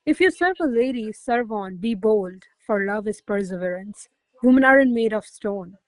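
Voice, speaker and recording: A woman's voice: mid-range voice, animated, normal speed, no echo, lightly acted, poor audio. A woman playing a proud, shrewd countess in a classical radio play, speaking formal verse with a commanding, theatrical manner. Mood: coolly teasing. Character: proud, shrewd noblewoman teasing her secretary. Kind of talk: verse stage comedy, recited as radio play.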